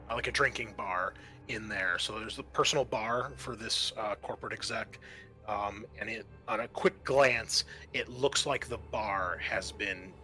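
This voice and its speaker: A man running a tabletop game, describing scenes in a halting, conversational way. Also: lowish voice, pushed and bright. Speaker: game master. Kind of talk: improvised tabletop game narration.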